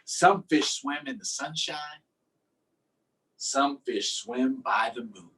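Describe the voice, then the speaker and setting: A man's voice: mid-range voice, slow pace, pushed and bright, recorded from a distance, low-quality recording. A man hosting a storytime, reading aloud and chatting simply and encouragingly with young children. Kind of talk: read-aloud and casual chat to young children.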